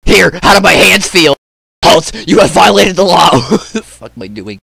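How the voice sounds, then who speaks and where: Theatrical man, high-pitched and wildly animated. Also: moderate pace, creaky, breathy edge. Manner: exaggerated, theatrical, swearing outbursts. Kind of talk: comedic game voice lines in put-on character voices.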